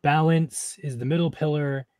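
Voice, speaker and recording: A man co-hosting a casual chat, reading names from his notes with many pauses and fillers. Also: on the high side, steady tone, darkish tone, dry recording. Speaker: podcast co-host.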